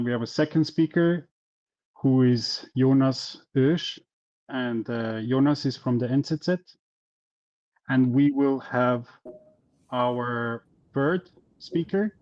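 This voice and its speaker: Slow, mellow man hosting a meetup. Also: medium pitch, muffled recording. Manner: halting, many uh fillers. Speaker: meetup host, moderator. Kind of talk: introducing speakers.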